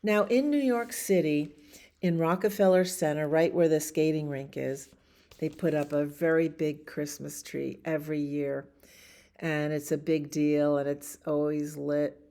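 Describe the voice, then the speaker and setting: A woman with a low, creaky voice talks to young children at a bedtime story time. She takes her time, adding chatty asides and simple questions as a library storyteller. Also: fairly even intonation, unhurried, warm tone.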